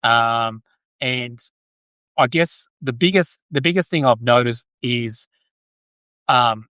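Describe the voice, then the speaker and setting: An Australian man hosting a casual live political commentary stream, speaking in a halting way and often restating his phrases. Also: medium pitch, bright, forceful tone, no room sound. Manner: halting, repeats and restates phrases, breaks off to greet viewers. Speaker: podcast host, political commentator. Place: Brisbane, Australia.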